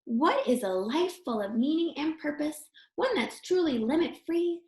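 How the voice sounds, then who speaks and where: A woman's voice: sits high, animated, normal speed, a little mellow. A woman reading a children's picture book aloud expressively, like a teacher, with encouraging asides spoken straight to young listeners. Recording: roomy, echoey sound.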